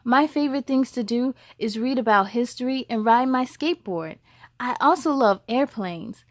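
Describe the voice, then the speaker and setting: A woman's voice: fairly high pitch, animated, moderate pace, no room sound, studio quality, lightly acted. A woman voicing a proud, bookish six-year-old in a storybook, making formal declarations.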